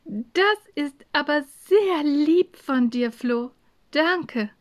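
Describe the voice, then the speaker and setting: A woman plays a sleepy schoolgirl in a children's story. Her voice sits high and she sighs wearily through her lines, polite and sweet-natured even when worn out. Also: slow. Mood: grateful.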